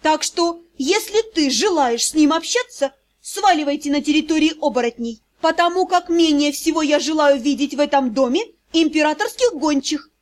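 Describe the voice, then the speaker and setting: A woman's voice: very high-pitched, lively intonation, normal speed, voice pushed up. A woman voicing a fearless, hot-tempered young vampire noblewoman in an audiobook, imperious and scolding. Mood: icy, threatening.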